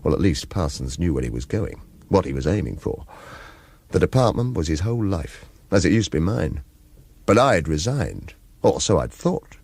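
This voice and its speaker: An actor playing a bitter, sceptical former agent in a radio thriller, with a British accent and a blunt, sardonic, questioning manner. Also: male voice, low voice, sing-song, moderate pace, creaky, darkish tone, husky, heavily acted. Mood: reflective, weary.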